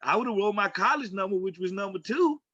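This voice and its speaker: A man's voice: high-pitched, fast talker, no echo, highs cut off. A man co-hosting a casual sports talk show as a former player, telling stories emphatically and acting out the dialogue. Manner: animated storyteller, acts out quoted dialogue, emphatic.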